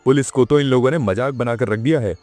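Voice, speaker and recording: A man's voice: medium pitch, fast, a little mellow, dry recording, faint music behind, lightly acted. A man playing a weary, exasperated village police station chief who grumbles sarcastically in a children's cartoon. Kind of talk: children's cartoon moral story acting.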